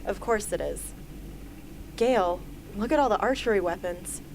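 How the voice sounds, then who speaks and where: Radio drama heroine: blunt, guarded teen girl archer, medium pitch, faint background noise; woman acting. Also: moderate pace, creaky, natural voice. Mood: dry, guarded.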